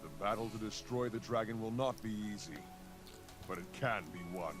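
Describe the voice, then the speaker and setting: A man voicing a stern, brisk game ally. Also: fairly low pitch, natural voice. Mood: serious. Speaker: game character. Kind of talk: video game voice acting. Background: orchestral game music.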